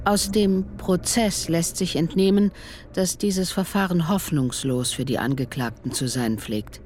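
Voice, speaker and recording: A woman's voice: on the low side, unhurried, darkish tone, slight background noise, natural voice. A woman playing a stern speaker who recites literary passages in a scripted radio play. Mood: sober.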